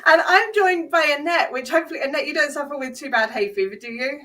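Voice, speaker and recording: A woman's voice: high voice, brisk, a bit bright. A British woman hosting a casual live video chat, sounding congested with hay fever and chatting with easy laughs. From Britain. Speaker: host.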